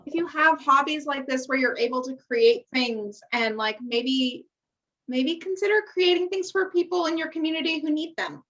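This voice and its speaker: Young American female podcast co-host, higher pitch, informal and encouraging, lo-fi distant sound. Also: normal speed, fairly bright tone. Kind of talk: casual chat. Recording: muffled, distant-sounding microphone.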